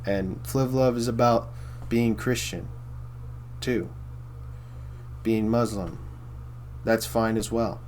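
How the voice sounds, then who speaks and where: A man with a baritone voice hosting a casual philosophy monologue, rambling and repeating himself with many fillers. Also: fairly even intonation, slow, long pauses, darkish tone, a little hiss or hum. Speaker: host.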